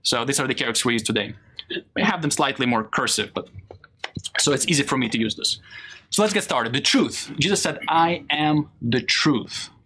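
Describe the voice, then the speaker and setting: A man's voice: medium pitch, moderate pace, a little hiss or hum. A man from Israel, a Hebrew speaker, teaching a Bible lesson and quizzing his audience. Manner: animated, asks the audience questions. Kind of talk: classroom lesson with a live audience.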